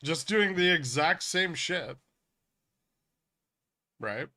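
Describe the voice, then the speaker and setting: Bright-voiced man, blunt livestream host. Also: fairly high pitch, expressive, drawn-out words. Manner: blunt, swearing, emphatic explaining. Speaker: livestream host, trading educator. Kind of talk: casual livestream chat, answering viewer questions.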